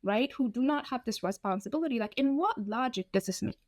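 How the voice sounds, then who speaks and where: A woman in her thirties from Ethiopia, telling her own story in an interview, candid and animated, often acting out what people said. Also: on the high side, fairly quick, no room sound, studio quality. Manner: frank, animated, acts out quoted dialogue. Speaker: guest.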